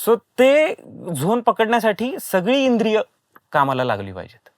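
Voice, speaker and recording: A man's voice: sits high, wildly swooping pitch, moderate pace, dry recording. Emphatic man explaining, close and dry. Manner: emphatic, explanatory, repeats phrases for stress. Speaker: guest, voice and dubbing actor. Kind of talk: conversational interview explanation with English words mixed in.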